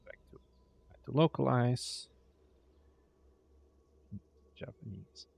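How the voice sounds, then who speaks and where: A man's voice: medium pitch, slow pace, gravelly, darkish tone, dry recording. A young man, a game developer thinking aloud on a casual livestream, muttering to himself, trailing off and swearing now and then. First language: Dutch. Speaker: indie game developer streaming.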